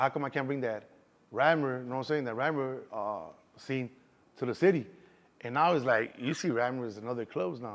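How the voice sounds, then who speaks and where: A man in his thirties with a Chicago accent talks fast and loose as an interview guest. His voice sits at a middle pitch, and he keeps dropping in "you know what I'm saying" as he goes. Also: dull audio. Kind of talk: casual street interview.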